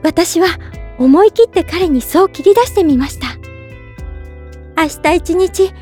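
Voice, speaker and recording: A woman playing a gentle, polite young woman in love, narrating in character for a drama CD with sweet earnestness. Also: extremely high pitch, animated, unhurried, faint music behind. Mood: thoughtful, earnest.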